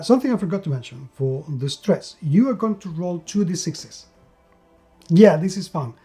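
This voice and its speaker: A man's voice: a little high, warm tone. A man giving an enthusiastic, unscripted game review, emphatic and repeating words for stress.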